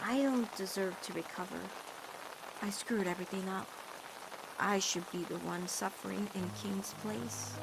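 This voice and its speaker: A woman's voice: mid-range voice, steady tone, a little slow, vocal fry, noisy background. A woman voicing a guilt-ridden fourteen-year-old girl in an emotional cartoon fan dub, blaming herself with dramatic intensity. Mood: dejected guilt. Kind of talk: animated-series fan dub drama acting.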